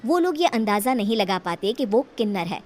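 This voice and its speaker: A woman's voice: on the high side, very animated, a little fast, darkish tone. A woman storyteller reading scripted bedtime story narration.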